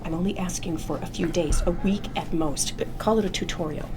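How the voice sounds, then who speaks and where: A woman's voice: on the low side, steady tone, brisk, close to an everyday voice. A woman acting in a table read of a TV drama, playing worried, demanding women. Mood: curt, tense. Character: worried wife and tense, demanding businesswoman. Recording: noisy room recording.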